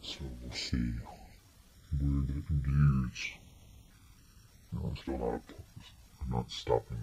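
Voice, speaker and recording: A man in his twenties rambling casually through a vlog update, halting and often trailing off, recorded on a webcam at a desk. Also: rumbling low, flat delivery, creaky. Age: 20s. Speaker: vlogger. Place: small bedroom indoors. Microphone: webcam at desk distance. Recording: noisy webcam audio.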